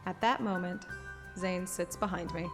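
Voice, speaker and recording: A woman's voice: fairly low pitch, moderate pace, natural voice. An actress playing a playful, plucky young woman hunter, narrating and voicing a first-person game story expressively. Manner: expressive, teasing. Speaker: player-character narrator. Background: background music.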